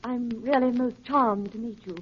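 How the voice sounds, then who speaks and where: Woman acting high-pitched, arch society lady schemer. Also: fairly even intonation, normal speed, lightly acted. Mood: arch, gracious. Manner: gushing, arch society manners. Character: arch, falsely charming female impostor, crook's wife. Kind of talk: 1930s radio acting. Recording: muffled noisy 1938 radio recording.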